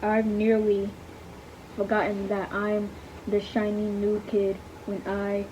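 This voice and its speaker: Young female reader, slow and halting, flat and mellow voice, dull home recording. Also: mid-range voice, noisy background. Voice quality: careful, halting, stumbles over words. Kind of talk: slow halting read-aloud, saying punctuation aloud.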